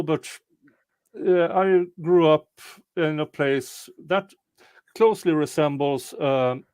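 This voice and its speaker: A man's voice: a little high, clean tone, no room sound. A man with a rural Swedish accent co-hosting casual commentary on trial footage, speaking hesitantly and drily. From Sweden. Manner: slow, hesitant, dry remarks. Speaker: co-host reacting to trial footage.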